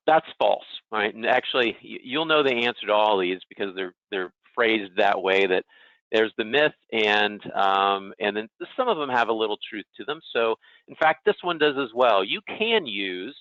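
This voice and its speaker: A man with a lowish, forceful voice leads an informal webinar as a consultant. He talks conversationally, walking listeners through a software demonstration. The audio is dry and slightly dull. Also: no room sound. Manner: conversational, self-deprecating, checks in with "okay" and "right". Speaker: presenter, legal technology consultant.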